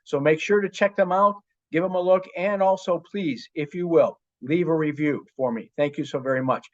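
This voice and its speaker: Slightly high-voiced male podcast host. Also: normal speed, dull audio. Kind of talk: podcast introduction with self-promotion.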